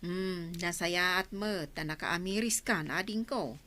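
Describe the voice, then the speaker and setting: A woman's voice: lowish voice, unhurried, bright, forceful tone. A woman with a northern Philippine accent playing a kindly, encouraging elder sister in a village comedy radio drama.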